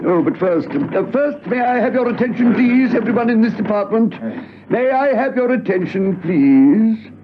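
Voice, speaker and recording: An actor playing a fussy, officious department boss in a spy radio drama, stiff, formal and flustered. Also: male voice, high-pitched, lively intonation, normal speed. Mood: stern, fussy. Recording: tinny phone-like radio recording, faint noise.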